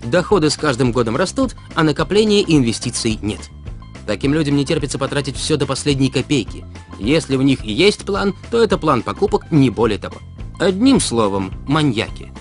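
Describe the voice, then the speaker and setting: Male narrator for an animated explainer, mid-pitched, animated intonation, didactic and deliberate, light music bed. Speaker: narrator of an educational cartoon about personal finance. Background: background music.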